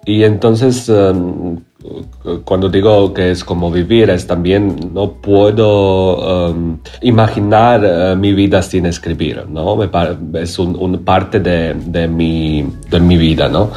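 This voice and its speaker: Give me a deep-voiced man from Croatia speaking slowly in an as a guest. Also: fairly even intonation, dark tone, faint music behind. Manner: halting, searching for words in a second language. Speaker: playwright guest. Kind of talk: interview conversation.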